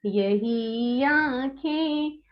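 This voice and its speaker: A high-voiced woman sings her verses at a very slow, drawn-out pace, her throat slightly sore. The sound is a bit muffled, with guitar music playing behind her. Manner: slow melodic sung recitation. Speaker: poet reciting sung verse. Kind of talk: singing recited poetry.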